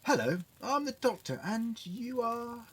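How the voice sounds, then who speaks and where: A man's voice: very high voice, big pitch swings, unhurried, heavily acted. A man playing a polite, wry, articulate time-travelling doctor in an audio drama. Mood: bright, polite. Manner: articulate, courteous, precise wording. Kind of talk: fan audio drama acting.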